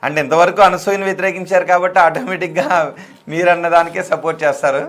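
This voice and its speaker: A male live TV debate host with a notably high voice. He talks rapidly and insistently, circling back to repeat his appeals, and drops English words into his speech. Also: fairly even intonation, fairly bright tone. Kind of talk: live call-in debate, addressing viewers.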